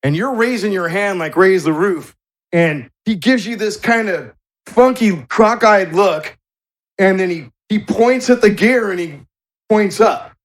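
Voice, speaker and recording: A man narrating a tabletop game as game master, in halting, descriptive storytelling. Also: high voice, expressive. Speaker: game master and show host.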